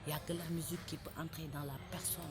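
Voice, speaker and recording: A woman's voice: sits low, level tone, rapid-fire. A young Senegalese woman with a Senegalese French accent, giving an earnest interview as a rapper, impassioned and exhorting, repeating her points. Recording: noisy background ambience.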